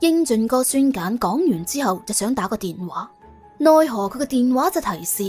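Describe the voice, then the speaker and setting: A woman with a Cantonese accent narrating a comic crime story in a colloquial, wry, expressive way. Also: a little high, moderate pace, soft background music. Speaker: audiobook narrator.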